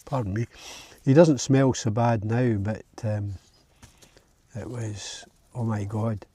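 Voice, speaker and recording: Scottish man, slow-paced, soft-edged husky voice, informal camping vlog chat, outdoors. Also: fairly low pitch. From Scotland. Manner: relaxed, informal, chatting as he goes. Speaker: camping vlogger. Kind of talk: casual chat to camera. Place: outdoors at a lochside campsite.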